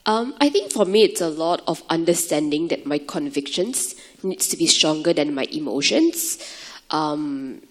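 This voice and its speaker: A woman's voice: low voice, lively intonation, a little slow. A woman speaks earnestly at a youth forum panel in a conference hall. Her talk is conversational and full of fillers as she shares her work with refugee children.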